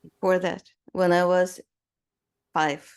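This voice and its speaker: A woman's voice: on the low side, flat delivery, slow pace, clear, resonant tone. Woman in her sixties telling her story. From Romania. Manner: storytelling with fillers and light laughs. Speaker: guest artist. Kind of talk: conversational interview.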